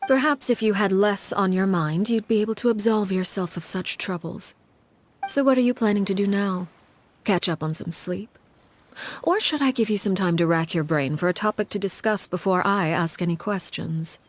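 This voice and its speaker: A woman voicing a cold, poised leader in a video game who speaks in a measured, formal, quietly mocking way. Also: mid-range voice, slight background noise, natural voice. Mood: coolly mocking. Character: cold, commanding female leader, poised and unsettling.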